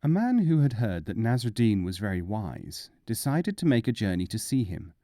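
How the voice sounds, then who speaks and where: Mellow, lowish-voiced male storyteller. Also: very animated, moderate pace, dry recording, clean studio sound. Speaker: audiobook narrator. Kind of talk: scripted storybook narration.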